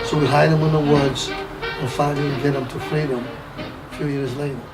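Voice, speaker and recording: Older Romanian man with halting English. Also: fairly high pitch, steady tone, over loud music, poor audio. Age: 70s. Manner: halting, repeats phrases, broken English grammar. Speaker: interviewee. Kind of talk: personal wartime recollection interview.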